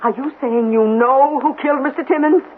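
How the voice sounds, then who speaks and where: A woman's voice: high voice, a little fast, lightly acted. Actress as a determined mother, tinny radio sound. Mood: probing. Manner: insistent, questioning. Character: determined, pleading mother turned amateur sleuth. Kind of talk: 1970s radio drama acting. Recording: tinny band-limited old radio recording, hiss.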